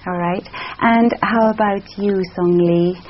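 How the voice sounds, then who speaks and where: A woman examiner asking interview questions in a measured, encouraging way with frequent backchannels. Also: medium pitch, steady tone, slight background noise. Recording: dull lo-fi sound.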